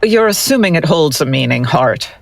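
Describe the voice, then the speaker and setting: Casting: actress as skeptical audio-drama forensic analyst, sardonic and exacting, with widely varied intonation. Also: female voice, deep voice, normal speed, gravelly, full character voice. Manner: deadpan, sardonic.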